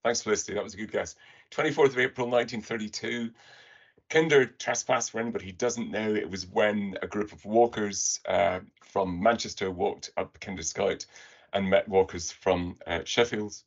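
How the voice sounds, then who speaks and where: A man's voice: lowish voice, fairly even intonation, normal speed, lo-fi sound. A man presenting slides on a webinar in a conversational way, putting questions to his audience over a video call.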